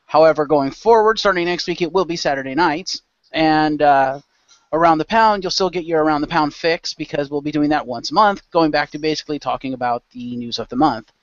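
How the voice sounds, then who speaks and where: Higher-pitched man hosting, dry lo-fi sound. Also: brisk, no room sound. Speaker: host and game master. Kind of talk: casual chat and narration introducing a role-play show. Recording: dull lo-fi close microphone.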